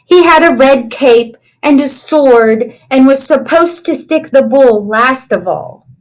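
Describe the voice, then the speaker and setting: A woman reading a picture book aloud to children in an animated storytelling way, pausing to ask them simple questions. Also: sits high, low-quality recording. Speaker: children's read-aloud teacher.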